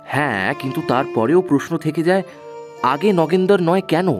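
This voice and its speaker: A man's voice: fairly high pitch, normal speed, close to an everyday voice. A man playing a sensible, firm young investigator with a questioning manner in an audio horror story. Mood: puzzled. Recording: music under the voice.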